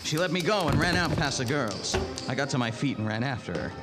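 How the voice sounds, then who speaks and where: Hard-boiled detective, acted by a man, world-weary, mid-pitched with big swings, vintage radio noir over loud music. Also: expressive, a little fast, natural voice. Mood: tense narration. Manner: terse, slangy, hard-boiled. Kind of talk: 1940s noir radio narration and acting. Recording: live stage radio play under loud music.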